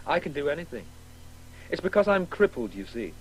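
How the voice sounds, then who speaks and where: A man's voice: on the high side, very animated, brisk, husky. A male actor playing a precocious, morbidly curious boy in a 1980s radio drama, musing pedantically and matter-of-factly. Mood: musing, detached. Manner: matter-of-fact, pedantic musing about words. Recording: faintly noisy old radio broadcast.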